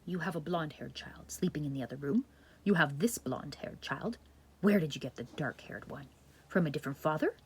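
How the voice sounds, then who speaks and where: A woman voicing a menacing male Nazi officer in a children's puppet show, husky, curt and accusing. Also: deep voice, expressive, a little fast, pitched below the performer's own voice, exaggerated voice. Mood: angry, accusing. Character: menacing, interrogating Nazi officer, adult man.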